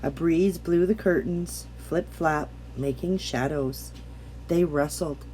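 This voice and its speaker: A woman reading a children's picture book aloud, gently and deliberately, with dramatic pauses. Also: sits low, a little hiss or hum, rough recording. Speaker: storybook reader. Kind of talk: expressive children's bedtime read-aloud.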